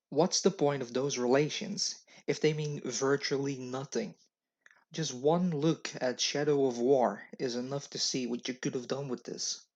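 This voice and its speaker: A non-native English-speaking man delivers a scripted game review in a wry, matter-of-fact way. His voice sits at an ordinary middle pitch and sounds plain and conversational. The webcam audio is dull and lo-fi. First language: not English. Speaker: game reviewer and channel host.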